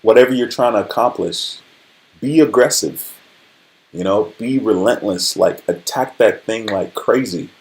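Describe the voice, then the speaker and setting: Low-voiced man in his forties, casual livestreamer. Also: unhurried, darkish tone, poor audio. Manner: conversational, frequently checks in with listeners. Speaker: livestream host. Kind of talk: casual motivational livestream chat.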